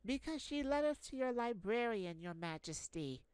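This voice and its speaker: A woman's voice: fairly high pitch, expressive, moderate pace, darkish tone, clear, resonant tone, no echo, voice pushed up, lightly acted, low-quality recording. A woman voicing an earnest, formal, slightly hesitant princess in a fantasy audio roleplay. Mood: nervous, earnest. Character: earnest, eager princess on a quest, deferential. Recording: faint background noise.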